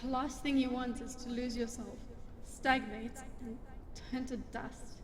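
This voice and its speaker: An actress playing a restless, hot-tempered, irreverent woman with a sardonic, sweary manner and a soft side, in an audio drama. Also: fairly high pitch, steady tone, measured pace, big-room sound, slight background noise, close to an everyday voice. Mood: earnest, reflective.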